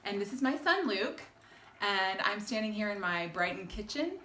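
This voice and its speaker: A woman in her forties from Texas chats to camera, filmed by her husband in an old kitchen. She rambles warmly with ums and small laughs. The room rings with echo, and a faint hiss sits under her voice. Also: mid-range voice, expressive, moderate pace. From Texas, United States. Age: 40s. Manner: chatty and warm, with frequent ums and laughs. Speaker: alumna sharing her story. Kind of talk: casual home video chat. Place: home kitchen in an old English house. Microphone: camera held by her husband.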